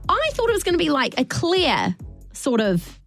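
A woman's voice: high-pitched, wildly swooping pitch, normal speed, fairly bright tone, faint music behind. A woman co-hosting a radio show, telling a rambling story in casual on-air chat, laughing often, her voice a little worn. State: slightly losing her voice. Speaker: radio co-host.

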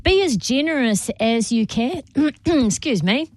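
A woman's voice: high-pitched, lively intonation, a little slow, darkish tone, dry recording. A woman hosting a radio fundraising drive, upbeat and persuasive. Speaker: radio host. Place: radio studio.

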